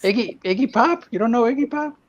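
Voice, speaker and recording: A man's voice: very high-pitched, expressive, quick pace. Teasing middle-aged man, lo-fi audio. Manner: playful, teasing, full of music trivia. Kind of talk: casual chat while drawing.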